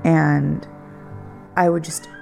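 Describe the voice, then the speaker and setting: A woman's voice: low voice, little pitch movement, extremely slow, vocal fry. A woman giving a candid, conversational personal recollection in an unguarded way. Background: music.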